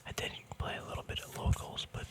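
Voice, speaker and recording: An ASMR host speaking in a casual, rambling whisper, hesitant and thinking aloud. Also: fast talker. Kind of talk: ASMR whispering, casual card unboxing chat.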